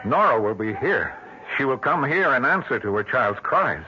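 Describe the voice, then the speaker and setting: Man acting as distraught dad in old radio drama, intense n halting, voice swings a lot, dull recording. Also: mid-range voice, very animated, heavy background noise, lightly acted. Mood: haunted, fervent. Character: distraught grieving father, haunted, convinced his dead daughter calls.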